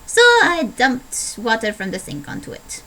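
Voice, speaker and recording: A woman's voice: fairly high pitch, expressive, moderate pace, fairly bright tone, a little hiss or hum, low-quality recording. A young adult woman vlogging in a put-on Italian accent, rambling playfully with a self-deprecating manner. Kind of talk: casual rambling video diary.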